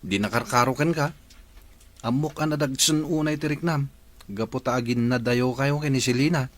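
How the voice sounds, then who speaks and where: A man's voice: mid-range voice, normal speed, natural voice. A man with an Ilocano accent acting a sombre, sympathetic villager in a radio drama.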